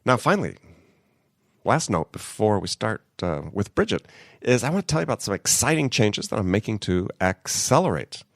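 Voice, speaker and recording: A man's voice: on the low side, very animated, normal speed, vocal fry, dry recording, studio quality. A man hosting a podcast in casual, upbeat talk while getting over a cold. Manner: upbeat, conversational. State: has a cold. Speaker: podcast host.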